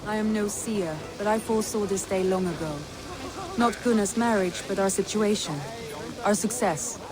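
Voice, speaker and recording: A woman playing a composed, loving Norse stateswoman in a video game, with formal, deliberate and sincere delivery. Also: mid-range voice, lightly acted, low-quality recording. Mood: earnest, assured. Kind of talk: video game dramatic dialogue. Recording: outdoor ambient noise behind voice.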